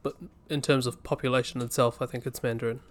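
A man's voice: mid-range voice, level tone, fast. A man speaking as a podcast co-host in a casual, chatty style. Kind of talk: casual chat.

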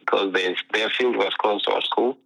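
Deep gravelly man from Uganda. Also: moderate pace, low-quality recording. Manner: relaxed storytelling with many "you know" fillers. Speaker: guest, pro soccer player. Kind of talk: casual interview chat.

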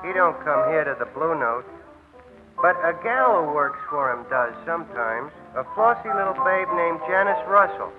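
Tinny vintage broadcast with loud music: man as doubtful American bartender, high-pitched, slangy working-class talk. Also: lively intonation, normal speed, close to an everyday voice. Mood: doubtful, gossipy. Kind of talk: 1940s radio drama acting.

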